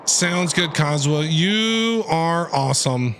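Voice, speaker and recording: A man's voice: on the high side, lively intonation, takes it slowly, fairly bright tone. A man streaming a video game, giving enthusiastic, casual reaction commentary in an informal way. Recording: music behind the voice. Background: game music.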